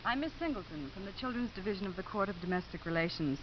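A woman's voice: medium pitch, lively intonation, quick pace, a little hiss or hum, close to an everyday voice. An actress playing a formal, precise woman investigator in a scripted 1950s radio drama. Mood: prim, official. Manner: precise, crisp, official. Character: prim, formal female court child-welfare investigator. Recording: muffled old 1950s radio recording.

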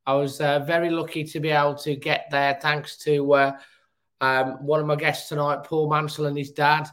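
A man with a hoarse, cold-roughened voice, hosting a casual football fan chat show. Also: fairly high pitch, flat delivery, moderate pace, a bit bright. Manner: chatty, upbeat, fan talk. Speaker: football podcast host.

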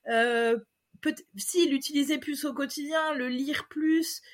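High-voiced hesitant French woman guest. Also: takes it slowly. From France. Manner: hesitant, many fillers and restarts. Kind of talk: casual personal testimony.

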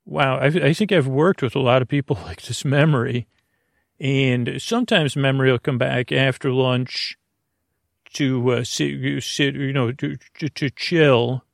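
A man with a creaky, gravelly voice hosting a bedtime podcast in a lulling, meandering, halting monologue full of stammers and tangents. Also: medium pitch, dry recording, studio quality. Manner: soothing, lulling. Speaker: podcast host. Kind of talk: rambling bedtime monologue to lull listeners to sleep.

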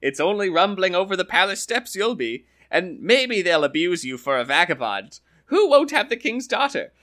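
A man's voice: high-pitched, very animated, normal speed, a bit bright, voice pushed up. A man voicing a sly young farmer trickster, wheedling and boastful, in a raised voice.

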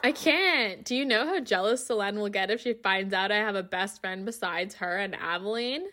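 A woman's voice: medium pitch, normal speed, pushed and bright, natural voice. Woman acting bitter, weary werewolf woman, noisy backdrop. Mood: teasing sarcasm. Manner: sardonic, swearing, wry laughs. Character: bitter, despairing lone-wolf werewolf woman, short-tempered, wry. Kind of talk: naturalistic audio drama acting.

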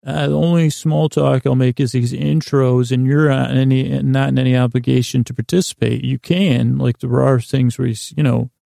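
A man hosting a bedtime podcast, giving a rambling, improvised monologue that meanders haltingly and keeps stopping and starting. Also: medium pitch, steady tone, vocal fry, mellow, no echo, clean studio sound. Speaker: podcast host and bedtime storyteller.

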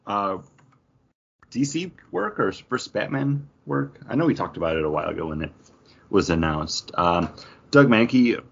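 A man's voice: fairly low pitch, sing-song, measured pace, creaky, breathy edge, lo-fi sound. A man co-hosting a comic book podcast in casual, conversational chat.

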